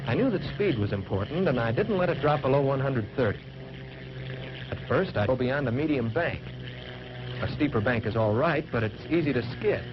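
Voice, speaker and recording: Man acting as young American fighter pilot, 1940s training film narration, plain-spoken, dull soundtrack, loud noise and drone. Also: medium pitch, lightly acted. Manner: plain-spoken, folksy first-person recounting.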